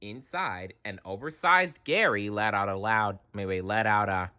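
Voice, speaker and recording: A man's voice: on the low side, moderate pace, bright, forceful tone, clean tone. A man reading a children's story aloud expressively, adding wry asides as storyteller.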